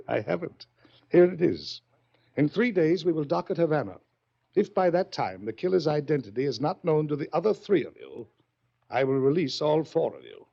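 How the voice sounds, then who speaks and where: Smug menacing rich villain, 40s radio drama, gravelly, taunting, dull old recording. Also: male voice, a little high, very animated, mellow, full character voice. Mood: commanding, menacing. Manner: smooth taunting, theatrical pauses, drawn-out words. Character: wealthy, smugly menacing yacht owner toying with murder suspects. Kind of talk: 1940s radio acting.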